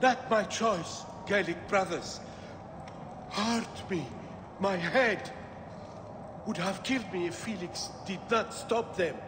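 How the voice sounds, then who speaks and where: A somber, wary gladiator trainer from a video game, who sounds worn and cautioning. His voice is high for a man and comes slowly and haltingly, in a reverberant, noisy space. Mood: fearful, bitter. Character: weary, wary male gladiator trainer, haunted mercenary. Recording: reverberant hall, noisy background.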